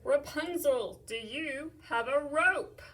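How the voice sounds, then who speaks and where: A woman voicing a young lovestruck male prince who shouts up to a tower in a loud, slow, drawn-out voice. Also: sits high, far from the mic, voice pushed up. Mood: calling upward.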